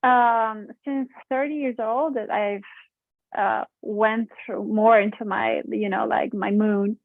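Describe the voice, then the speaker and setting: A woman's voice: medium pitch, fairly even intonation, slow pace, vocal fry, no room sound, phone-quality sound. A woman from Romania hosting a podcast, talking in a hesitant, chatty way with many fillers and frequent laughs. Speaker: podcast host. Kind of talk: casual interview chat.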